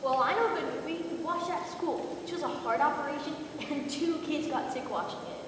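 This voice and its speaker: A woman's voice: sits high, a little fast, natural voice. A woman playing a cheeky, bragging schoolboy in a readers theater skit. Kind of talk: readers theater stage acting. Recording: noisy echoey hall, far from mic.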